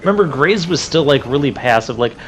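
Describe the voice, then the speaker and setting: A man's voice: mid-range voice, expressive, fairly quick. A man giving casual let's play commentary on a video game, chatty and informal, thinking aloud as he plays. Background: game music and crashing effects.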